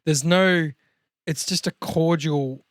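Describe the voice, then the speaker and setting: A man's voice: a little high, steady tone, slow pace, dry recording, clean studio sound. A man co-hosting a podcast in casual conversation, blunt and jokey, with some swearing. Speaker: podcast co-host. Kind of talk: casual chat.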